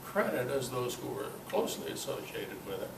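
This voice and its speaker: An older man giving an informal, halting talk to a club, with a self-deprecating, dryly humorous manner. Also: medium pitch, sing-song, creaky. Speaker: guest speaker at a club meeting. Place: club meeting room, indoors. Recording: roomy, distant mic, noisy amateur recording.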